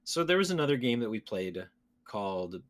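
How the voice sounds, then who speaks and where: Male podcast co-host, casual video game chat, lower-pitched voice. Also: big pitch swings, moderate pace.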